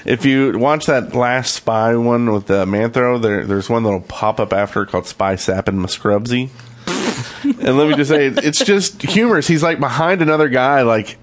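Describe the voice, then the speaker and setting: A man's voice: mid-range voice, normal speed. A man co-hosting a podcast, chatting casually and informally. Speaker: podcast co-host. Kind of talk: casual chat. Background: music.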